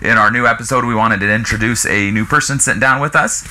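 A man's voice: mid-range voice, fast talker, sharp tone. An American man in his thirties hosting a casual vlog with enthusiasm, often repeating phrases for emphasis. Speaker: show host from an RV dealership. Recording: noticeable background noise.

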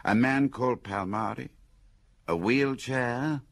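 A British actor playing a teasing, thoughtful old friend in a radio drama, with a husky voice and literate conversational manner. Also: male voice, mid-range voice, takes it slowly. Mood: teasing, reflective.

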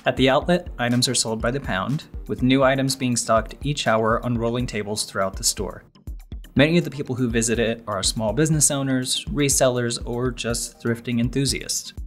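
A man hosting a podcast, delivering scripted narration. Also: mid-range voice. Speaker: podcast host.

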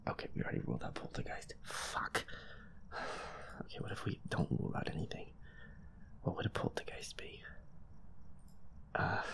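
A gamer with a breathy, whispery voice, nervously muttering to themself while playing through a horror game. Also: fairly bright tone. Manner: nervous, muttering to self, reluctant. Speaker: solo gamer. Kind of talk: hushed let's-play commentary of a horror game.

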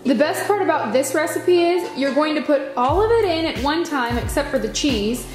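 A woman's voice: high-pitched, lively intonation, moderate pace. An American woman chattily walking through recipes step by step in a casual home cooking demonstration. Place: home kitchen, indoors. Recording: echoey kitchen with music behind. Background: music.

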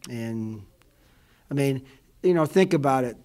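Brief: middle-aged male coach, postgame presser, rambly and heartfelt, fills every pause with "you know", table mic. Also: mid-range voice, animated, dark tone. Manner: rambling, emotional, many fillers like "you know what I mean". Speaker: college basketball head coach. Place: indoor press room before a logo backdrop. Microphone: table mic in front of him.

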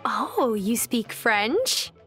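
A woman's voice: on the high side, sing-song, very slow, fairly bright tone, faint music behind, exaggerated voice. An actress playing a friendly young woman new in town, hesitant and earnest, in a video game. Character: friendly young woman newly moved to a rural island.

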